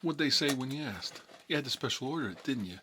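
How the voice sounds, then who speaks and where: A man playing a cagey, cocky mob boss in an ASMR role-play, talking unhurried in needling tough-guy street talk. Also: medium pitch, animated, noisy background, close to an everyday voice. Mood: bossy, needling.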